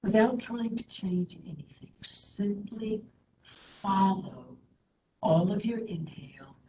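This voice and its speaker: A woman instructor leading a guided meditation in a soothing, gentle manner, with hushed phrase endings. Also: mid-range voice, expressive, slow. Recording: roomy echo, faint background noise.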